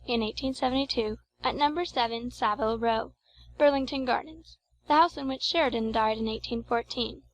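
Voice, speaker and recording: A woman narrates a classic novel in a fairly high voice. She reads scripted literary prose as an audiobook narrator. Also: a bit bright, rough recording.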